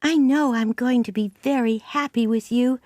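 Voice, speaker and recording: A woman's voice: fairly high pitch, fairly even intonation, normal speed, darkish tone, no echo, pitched above the performer's own voice, lightly acted. A woman voicing a chatty, dreamy orphan girl of about eleven who talks in gushing, dramatic bursts.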